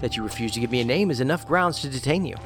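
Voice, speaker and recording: A man's voice: mid-range voice, animated, very fast, loud music behind the voice, natural voice. A man playing a terse, determined federal agent in an audio drama. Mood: pressing.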